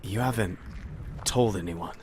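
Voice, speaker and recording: A man's voice: sits low, a little slow, darkish tone, a little hiss or hum. An actor playing a guarded, restless male survivor in an audio drama, speaking in a curt, terse way.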